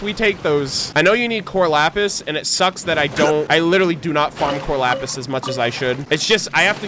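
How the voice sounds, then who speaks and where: A man giving casual, excitable, sweary commentary as a streamer playing through a game. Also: a little high, background noise. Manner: excitable, profane. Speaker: gaming streamer. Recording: game music behind the voice.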